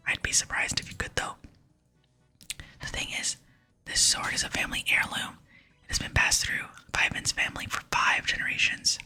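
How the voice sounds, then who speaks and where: Whispering woman reading game dialogue, ASMR style. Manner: soft, slow, hushed reading. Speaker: ASMR gaming channel host.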